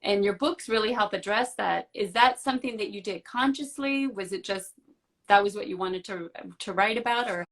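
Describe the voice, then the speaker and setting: A woman's voice: mid-range voice, moderate pace. A woman hosting a casual live interview, asking questions with encouraging backchannels. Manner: warm, encouraging, frequent backchannels.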